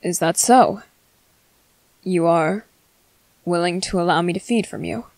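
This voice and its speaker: A woman playing a courteous, restrained male vampire commander in an ASMR roleplay, speaking in formal, halting, hungry phrases. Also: on the low side, darkish tone, no echo. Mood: grave, polite. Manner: formal, halting, measured, apologetic. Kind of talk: scripted ASMR roleplay monologue.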